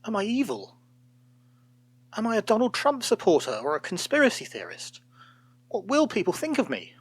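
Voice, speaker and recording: A man's voice: high voice, wildly swooping pitch, moderate pace, breathy edge, no room sound, voice pushed up, full character voice. A man voicing a vain, self-important man in charge who is privately insecure, in a storybook tale. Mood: panicked. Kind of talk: storybook character voice.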